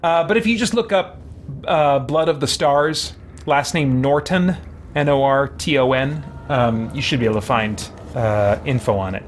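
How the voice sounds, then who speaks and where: A man's voice: medium pitch, moderate pace, a little hiss or hum. A man chatting casually as a gaming streamer, thinking aloud in a hesitant, rambling way. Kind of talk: casual chat while playing. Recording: music behind the voice. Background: game music.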